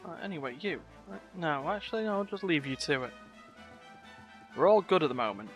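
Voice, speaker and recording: A man's voice: fairly high pitch, animated, fairly quick. A man streaming a game, giving casual commentary full of muttered asides and wry exclamations. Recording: game music behind.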